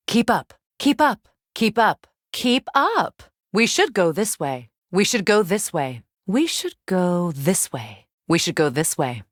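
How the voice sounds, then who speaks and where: A woman playing a composed, commanding Norse goddess warrior in a video game, speaking in a measured, deliberate way. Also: mid-range voice, no room sound, studio quality. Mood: brisk, commanding. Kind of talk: game companion voice lines.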